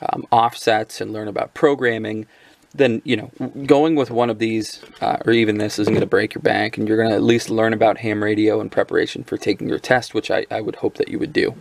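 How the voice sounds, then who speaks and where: Low-pitched man with creaky voice. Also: level tone. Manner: hesitant, halting, conversational. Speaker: product reviewer. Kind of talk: casual off-the-cuff gadget overview. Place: indoors at a tabletop.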